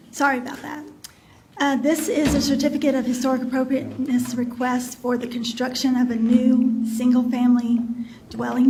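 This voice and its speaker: Woman, high voice, flat and mellow, reading a report, faint hiss. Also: creaky, poor audio. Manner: steady, formal reading of figures. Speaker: planning staff presenter. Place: county meeting chamber.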